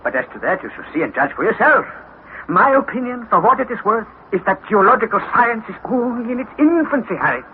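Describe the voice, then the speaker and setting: An actor playing an older professor uncle, fond and commanding, with emphatic paternal delivery in 1960s radio drama style. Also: male voice, sits high, sing-song, brisk. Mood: assured. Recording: tinny, noisy vintage radio recording.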